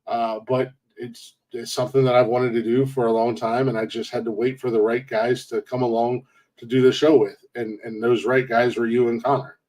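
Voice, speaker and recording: A man's voice: mid-range voice, little pitch movement, moderate pace, darkish tone, rough recording. Rambling man in his forties. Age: 40s. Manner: rambling, restarts often, laughs at own jokes. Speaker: podcast host. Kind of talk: casual podcast chat.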